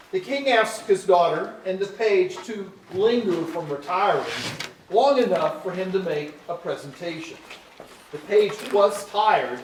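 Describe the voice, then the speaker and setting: A man's voice: high voice, a little hiss or hum. A man reading scripted storybook narration for a staged musical. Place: indoor hall, live staged reading. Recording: roomy, distant live stage pickup.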